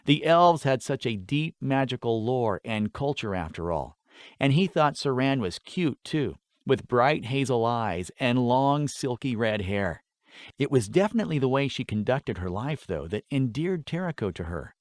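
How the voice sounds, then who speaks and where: A man narrating a fantasy story in an expressive, scripted audiobook style. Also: mid-range voice, moderate pace, dry recording, studio quality.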